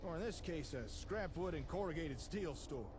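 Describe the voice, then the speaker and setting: A man's voice: fairly high pitch, expressive, moderate pace, close to an everyday voice. An actor playing a folksy, easygoing man who organises a settlement and explains things in video game dialogue. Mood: folksy, satisfied. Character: folksy, genial male settlement organiser explaining building plots. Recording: clear background ambient noise.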